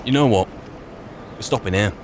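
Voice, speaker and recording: A man's voice: fairly low pitch, moderate pace. A man doing in-character roleplay commentary for a video game as a wary adventuring wizard, musing to himself in short phrases. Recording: clear background noise, game ambience.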